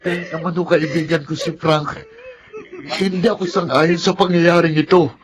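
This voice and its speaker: An actor as an agitated young Filipino man in a radio drama. His high voice is earnest and urgent, with clear crowd sound behind him. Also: fairly even intonation, moderate pace, close to an everyday voice. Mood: grave concern. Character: agitated young male neighbour, earnest and respectful.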